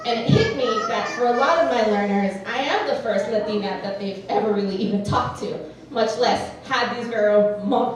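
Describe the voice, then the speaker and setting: A woman's voice: on the high side, slight background noise. Earnest woman in an echoey hall. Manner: earnest, reflective, ends with playful humor. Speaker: educator. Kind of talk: personal storytelling at a live event. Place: event hall with audience. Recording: echoey hall, mic far off.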